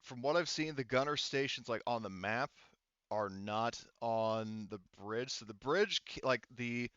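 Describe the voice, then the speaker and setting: A man acting as game master of a tabletop game, explaining rules casually in a halting, step-by-step way. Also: mid-range voice, fairly bright tone, smooth, no echo, dull audio.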